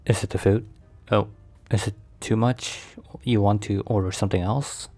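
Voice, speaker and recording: A man playing an overprotective mafia boss boyfriend in an ASMR roleplay, speaking formally with measured, halting, slightly stilted phrasing. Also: on the low side, steady tone, darkish tone, close to an everyday voice. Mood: concerned, gentle.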